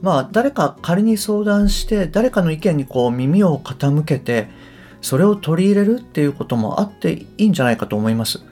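Middle-aged man, lively swinging pitch. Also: moderate pace, soft background music. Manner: reflective, tentative phrasing. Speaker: podcast host and English coach. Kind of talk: casual solo podcast talk.

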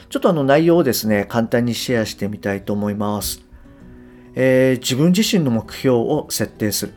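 A man's voice: medium pitch, a little mellow. Japanese man hosting a lesson over music. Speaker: podcast host and business English coach. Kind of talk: podcast lesson, reading aloud and explaining.

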